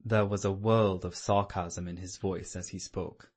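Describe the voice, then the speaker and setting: A man's voice: low-pitched, steady tone, unhurried, darkish tone, husky. A man reading scripted literary narration as the narrator of an audiobook.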